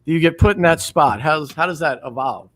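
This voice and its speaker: A man's voice: a little high, fairly bright tone. A man hosting a podcast, joking and bantering with his guests in casual chat. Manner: joking, quick back-and-forth banter. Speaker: podcast host.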